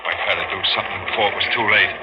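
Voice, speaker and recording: An actor playing a guilt-haunted, murderous sailor in an agitated, melodramatic 1940s radio thriller style. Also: male voice, lowish voice, steady tone, very fast, close to an everyday voice. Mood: brooding narration. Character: frantic, guilt-haunted murderous sailor convinced a dead woman returned. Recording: noisy, dull 1940s radio recording.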